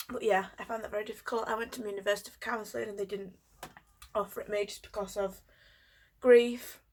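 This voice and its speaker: A woman in her twenties from Yorkshire with a cold, telling a personal story in a hesitant way with many pauses and ums. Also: medium pitch. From Yorkshire, England. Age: 20s. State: has a cold, coughing. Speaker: vlogger.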